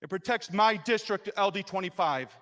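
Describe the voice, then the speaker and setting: A man's voice: high voice, normal speed. Impassioned man speaking in an echoing chamber. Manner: impassioned, rising to loud emphasis. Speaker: state legislator. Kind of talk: floor speech explaining a vote. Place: legislative chamber. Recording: long reverb in a legislative chamber.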